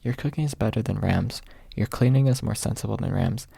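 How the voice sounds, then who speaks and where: Man reading as a haughty, husky maid. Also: on the low side, brisk, dark tone, dry recording, full character voice. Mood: disapproving. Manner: dry, dismissive, refers to self in third person. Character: haughty, blunt young pink-haired maid, a woman. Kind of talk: ASMR audiobook character reading.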